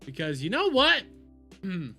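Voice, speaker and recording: A man's voice: high-pitched, wildly swooping pitch, a little slow, pushed and bright, soft background music. A man hosting a reaction livestream, giving opinionated commentary in an emphatic, ranting way and repeating phrases for stress.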